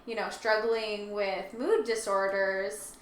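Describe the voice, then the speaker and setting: A woman's voice: mid-range voice, fairly even intonation, takes it slowly. A woman co-hosting a casual discussion, explaining her thoughts in a tentative, conversational way. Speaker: co-host. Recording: echoey room, faint background noise.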